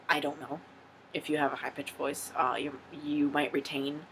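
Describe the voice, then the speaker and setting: A man's voice: on the high side, a bit bright, slight background noise, rough recording. Frank, rambling young man vlogging. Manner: frank, rambling, swears freely. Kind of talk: casual vlog Q&A.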